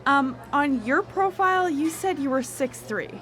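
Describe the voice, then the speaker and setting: A jaded young temptress out on a date, played by a radio actress. Her voice sits a little high and swings expressively. She is dry, curt and cool. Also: animated, a little slow, a bit bright. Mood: cool politeness. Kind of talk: radio drama acting.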